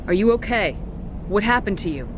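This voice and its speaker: A woman's voice: expressive, fairly quick, natural voice. A woman playing a decisive, no-nonsense military commander in a video game, speaking with authority. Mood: calm, concerned. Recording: noisy rumbling background.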